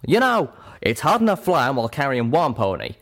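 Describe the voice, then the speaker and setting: A man's voice: fairly high pitch, wildly swooping pitch, normal speed, pitched above the performer's own voice. A man voicing a brash, protective male pegasus pony in an audiobook story, blunt and scolding. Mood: angry, scolding.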